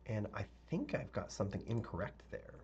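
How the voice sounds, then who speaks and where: Male architect, low voice, a bit raspy, relaxed live-stream teacher thinking aloud, dull lo-fi audio with keyboard typing behind. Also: brisk, a little hiss or hum. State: slightly raspy voice, clearing throat. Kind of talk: live software tutorial, chatting with viewers.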